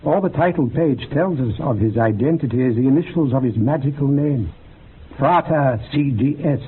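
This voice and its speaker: An actor playing an earnest, bookish occult researcher in a radio drama, lecturing in a measured, gravelly, husky voice. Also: male voice, mid-range voice, slight background noise, close to an everyday voice. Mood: scholarly, absorbed. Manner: measured, lecturing, quotes texts with relish. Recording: muffled phone-like old radio recording.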